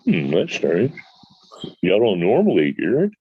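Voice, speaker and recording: Deep drawling man on a phone mic. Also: huge pitch swings, measured pace, husky, no echo, phone-quality sound. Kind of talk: casual group chat.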